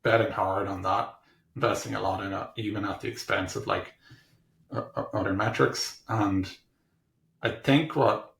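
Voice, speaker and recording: Low gravelly man in a roomy space. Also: steady tone, a bit bright, a little hiss or hum. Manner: halting, hesitant, thinking aloud in fragments. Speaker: technology executive being interviewed. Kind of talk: casual explanatory interview talk. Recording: roomy, echoing room sound.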